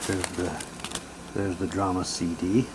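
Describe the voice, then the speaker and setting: A man's voice: deep voice, level tone, measured pace. A man doing a casual show-and-tell, switching between chatty remarks and reading printed material aloud. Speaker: presenter showing audio drama props. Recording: noticeable background noise.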